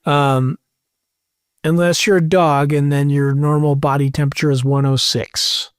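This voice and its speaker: A man's voice: on the high side, level tone, a little slow, clean tone, dry recording, clean studio sound. A man in his thirties, a podcast co-host, joking and explaining things offhand in casual chat.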